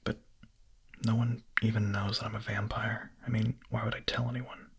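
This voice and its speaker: A man playing a hungry, nervous, lovestruck young vampire in an ASMR roleplay, speaking in a breathy, husky, hesitant whisper. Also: on the low side, little pitch movement, exaggerated voice. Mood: furtive, yearning. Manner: hesitant, halting, confessional.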